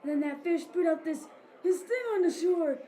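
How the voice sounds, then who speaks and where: A woman's voice: very high voice, normal speed, mellow. A woman playing a folksy, rambling old fisherman in an amateur audio drama. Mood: excited, insistent. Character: old fisherman telling a tall tale.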